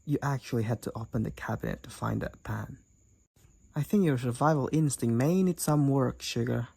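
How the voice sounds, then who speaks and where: A woman's voice: very deep voice, normal speed, warm tone, full character voice. A woman playing a cocky, teasing demoness college roommate and gamer in a roleplay audio drama, with a wry, theatrical delivery. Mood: amused, sardonic. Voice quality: raspy, creaky. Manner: teasing, wry, theatrical, addresses the listener as Sugar.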